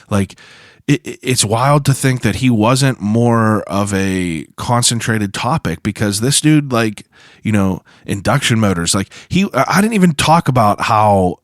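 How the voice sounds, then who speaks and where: Hoarse man, podcast host, low voice, dry close sound, loose slangy rambling. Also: dry recording. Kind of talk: casual podcast monologue.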